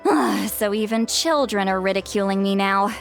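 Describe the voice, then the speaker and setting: A woman's voice: medium pitch, moderate pace, creaky, faint music behind, lightly acted. A woman voicing a tired, grumpy young woman in a video game, indignant and exasperated.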